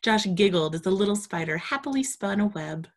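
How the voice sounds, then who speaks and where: A woman's voice: medium pitch, expressive, brisk. A woman storytime reader, reading a children's storybook aloud expressively and talking to young children.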